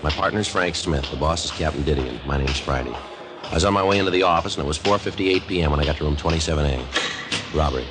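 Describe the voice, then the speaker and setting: An actor playing a terse police detective sergeant in an old radio drama, speaking in clipped, deadpan sentences. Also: male voice, extremely low pitch, quick pace, loud music behind the voice. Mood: flat. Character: terse male police detective sergeant, flat and matter-of-fact. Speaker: lead detective and narrator. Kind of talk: 1950s radio drama acting.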